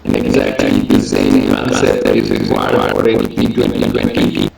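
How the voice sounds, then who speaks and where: Gravelly man lecturing in an echoey room. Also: on the low side, big pitch swings. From Gujarat, India. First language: Gujarati. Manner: measured, listing points one by one. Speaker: lecturer on education policy. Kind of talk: presentation lecture. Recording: echoey room with loud background hiss.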